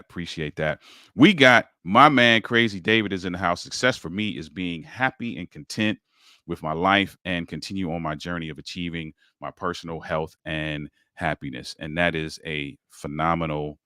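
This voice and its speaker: Male podcast host, deep and bright, dry close mic, upbeat encouraging delivery. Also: no room sound. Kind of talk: livestream podcast talk.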